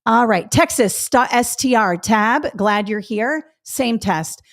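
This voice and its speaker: A woman's voice: on the high side, expressive, slow. A woman running a test-prep webinar, explaining reading instruction in a conversational, encouraging way with frequent fillers and check-ins. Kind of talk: live webinar teaching and reading chat questions.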